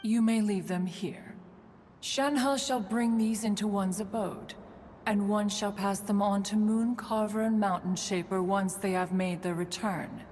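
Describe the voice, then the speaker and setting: A woman's voice: mid-range voice, fairly even intonation, a little slow, darkish tone, a little hiss or hum, lightly acted. A woman playing a proud, fussy yet fond immortal adeptus in a video game, speaking in formal, archaic phrases and calling herself "one.". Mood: stately, curious. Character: stately immortal female adeptus, proud, fussy, fondly meddling. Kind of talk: video game character acting. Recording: long reverb, music behind.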